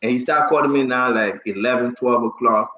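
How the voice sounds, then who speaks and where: Echoey, slightly muffled sound, Liberian man in his forties, fairly high voice, casual talk show host, repetitive emphatic phrasing. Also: a little fast, bright, forceful tone. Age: 40s.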